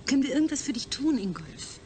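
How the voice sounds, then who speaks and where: A woman's voice: high voice, big pitch swings, fast talker, mellow, a little hiss or hum. A woman playing a caring scientist who patiently soothes her patient in a radio drama. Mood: soothing. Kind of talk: German radio drama acting.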